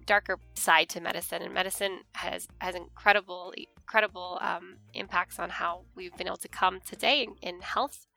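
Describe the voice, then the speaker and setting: Bright, gravelly Canadian woman. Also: fairly low pitch. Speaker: history researcher, co-host. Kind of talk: casual academic chat.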